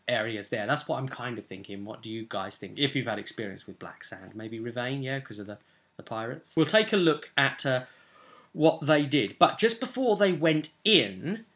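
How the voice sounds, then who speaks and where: A man's voice: mid-range voice, fairly bright tone. A young adult man chatting informally to camera as a game master, thinking aloud in a rambling way in a room at home. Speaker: vlog host, tabletop game master. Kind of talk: casual vlog talk about a role-playing game. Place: small furnished room at home, indoors. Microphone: webcam or camera at desk distance.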